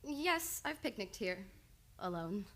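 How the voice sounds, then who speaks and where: A woman's voice: mid-range voice, very animated, slow pace, a bit bright, full character voice. An actress playing an earnest, reserved woman psychiatrist in an old-time radio drama, speaking formally and precisely. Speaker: radio play actor. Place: radio studio.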